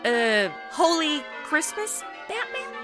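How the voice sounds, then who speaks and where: An actress playing a flippant modern young woman as a superhero sidekick, deadpan and wisecracking, in an audio comedy. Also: high voice, takes it slowly, music in the background, full character voice. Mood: unsure. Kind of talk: comedic audio drama acting.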